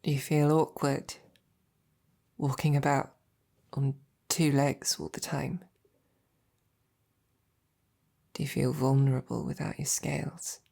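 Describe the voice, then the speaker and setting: A woman playing a devoted, tender and teasing female knight in intimate ASMR roleplay, speaking softly and haltingly, with affection, in a breathy, often whispered voice. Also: very deep voice, flat delivery, full character voice. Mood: gentle, probing.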